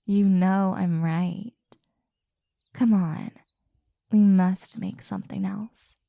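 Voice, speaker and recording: A woman performing an intimate scripted audio roleplay, talking softly and teasingly and often dropping into a whisper, close to the mic. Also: medium pitch, fairly even intonation, slow pace, creaky, no room sound.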